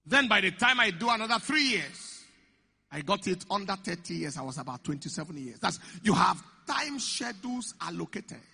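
High-pitched preacher in a hall. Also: male voice, sing-song, creaky, bright, forceful tone, husky. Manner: emphatic, repeats key phrases slowly. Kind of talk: sermon. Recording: echoey hall.

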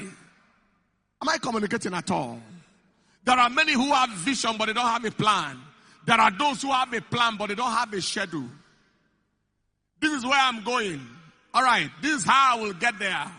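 A man preaching a sermon, stressing key phrases and repeating them slowly. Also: extremely high pitch, sharp tone. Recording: echoey hall.

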